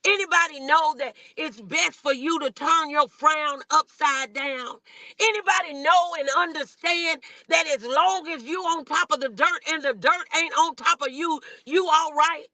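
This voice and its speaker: A woman's voice: sits high, a little slow, bright, forceful tone, no echo. An American woman preaching a motivational sermon as an online host, exhorting listeners and calling on them with questions.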